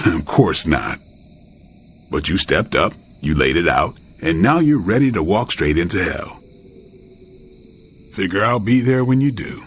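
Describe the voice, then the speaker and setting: A man playing a gruff, sardonic fighter who teases dryly in a video game cutscene, with faint music behind. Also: low-pitched, very animated, a little slow, long pauses, slight background noise, exaggerated voice. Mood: dry teasing.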